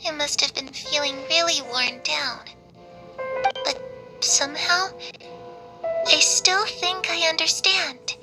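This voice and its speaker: A woman voicing a gentle, wistful, playful young girl in a video game, sincere and dreamy. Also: sits high, steady tone, slow pace, long pauses, music in the background, rough recording. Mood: wistful, tender. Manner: soft-hearted, sincere, dreamy.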